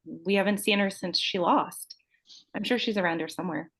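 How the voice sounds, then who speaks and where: A woman's voice: medium pitch, fairly even intonation, normal speed, fairly bright tone, husky, low-quality recording. A woman playing a gossipy, insincere beauty queen of twenty-one at a script table read. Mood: offhand. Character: gossipy twenty-one-year-old tattooed beauty queen, falsely sympathetic.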